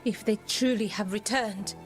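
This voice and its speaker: An actress playing a resolute elven scout in a fantasy game, with earnest, solemn delivery. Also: female voice, medium pitch, fairly even intonation, normal speed, soft background music, close to an everyday voice. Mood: solemn, urgent. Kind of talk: dramatic game dialogue.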